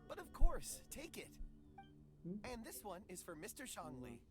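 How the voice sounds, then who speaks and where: A woman playing an earnest, polite, gently whimsical young character in a video game, with a courteous, slightly lyrical manner. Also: medium pitch, wildly swooping pitch, unhurried. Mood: grateful, eager. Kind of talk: scripted video game dialogue. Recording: music behind the dialogue.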